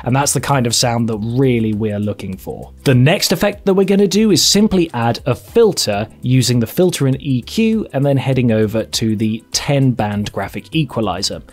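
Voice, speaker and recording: A man's voice: mid-range voice, animated, normal speed, few pauses, a little mellow, faint music behind. A man in his twenties narrating an instructional video, explaining each step directly to viewers. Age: 20s. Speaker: audio tutorial presenter.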